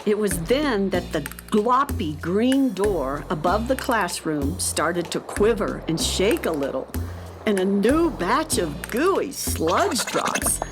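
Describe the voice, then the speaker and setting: Slow, lively woman story narrator. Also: mid-range voice, music in the background. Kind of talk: scripted children's story narration.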